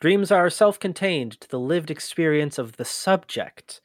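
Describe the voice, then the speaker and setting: An actor playing an inquisitive human archivist in an audio drama, with a conversational, halting, often exasperated manner. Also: mid-range voice, expressive, no room sound, studio quality, lightly acted. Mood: thoughtful, explaining. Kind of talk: scripted audio drama acting.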